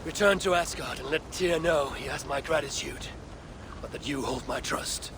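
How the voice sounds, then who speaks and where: An actor playing a proud, obsessive warrior god in a game cutscene, speaking in a solemn, stubborn, declamatory way with a gravelly voice. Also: male voice, on the high side, normal speed, lightly acted. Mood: resolute, solemn. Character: proud, obsessive warrior god seeking his lost son. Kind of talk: dramatic video game cutscene acting. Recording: loud background noise of game ambience.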